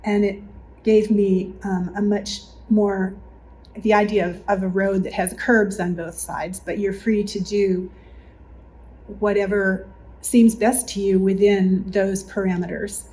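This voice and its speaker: Woman reminiscing in an even, mellow voice. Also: mid-range voice, unhurried, low-quality recording. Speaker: longtime church member being interviewed. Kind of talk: reflective spoken recollection in a group interview. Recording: faint background noise.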